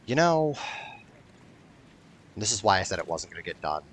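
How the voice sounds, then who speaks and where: A man's voice: on the low side, very animated, normal speed, background noise. A man rambling through casual gameplay commentary, swearing and sounding wryly exasperated as he streams. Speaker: video game streamer.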